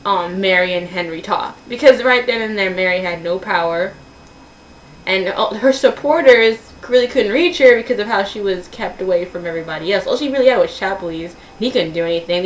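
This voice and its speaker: A woman giving her opinion in a casual vlog, earnest and opinionated, halting and correcting herself as she goes. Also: medium pitch. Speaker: vlogger posing opinion questions to viewers. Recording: roomy echo, distant mic, faint hiss.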